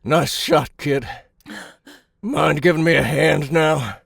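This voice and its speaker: An actor playing a big, gruff, middle-aged survivor, bitter and defiant, with a gravelly, raspy voice in an audiobook drama. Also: male voice, fairly high pitch, slow, a bit bright, no echo, clean studio sound, exaggerated voice. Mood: wry, indignant. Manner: weighty, defiant, rough-spoken.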